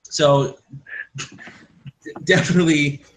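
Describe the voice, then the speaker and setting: A man's voice: on the high side, fairly even intonation, extremely slow, a little hiss or hum, poor audio. A man hosting a casual podcast who rambles through the news with many fillers and restarts. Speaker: podcast host. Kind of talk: casual wrestling news chat.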